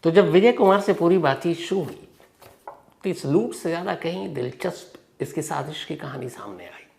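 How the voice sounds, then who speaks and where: A man hosting a crime storytelling show, speaking conversationally with dramatic pauses, strong stresses and phrase endings that drop away. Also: animated, creaky, darkish tone. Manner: dramatic pauses and emphatic stresses, sentences trailing off low.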